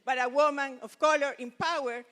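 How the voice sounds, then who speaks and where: High, bright emcee. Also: female voice, steady tone, long reverb tail. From Venezuela. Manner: slow, emphatic, rousing delivery. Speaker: event emcee.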